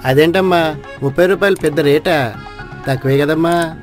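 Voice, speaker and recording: An eager but long-suffering cart vendor from a cartoon tale, played by a man. His voice is fairly high, over faint music. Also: moderate pace, close to an everyday voice. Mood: put-upon.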